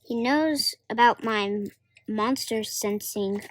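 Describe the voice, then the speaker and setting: A child reading story dialogue aloud haltingly, sounding out harder words. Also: medium pitch. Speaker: young volunteer reader of character dialogue.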